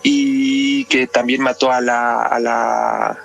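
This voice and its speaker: A man's voice: medium pitch, little pitch movement, takes it slowly, vocal fry, fairly bright tone, soft background music. A man with a Mexican accent talking analytically in a podcast discussion, explaining things and often checking with tag questions.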